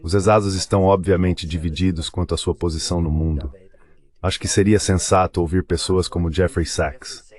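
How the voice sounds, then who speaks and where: A man speaking as the translated voice of a panel guest, giving measured interview commentary on politics. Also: deep voice, fairly even intonation, darkish tone.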